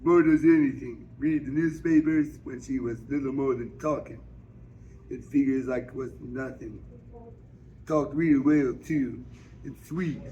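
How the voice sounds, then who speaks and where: A man's voice: mid-range voice, a little slow, warm tone, dull audio, close to an everyday voice. A man reading the part of a plainspoken, earnest Southern woman in a novel, in folksy dialect. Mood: fond, proud. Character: plainspoken Southern woman, devoted to her sister. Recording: noisy public store ambience.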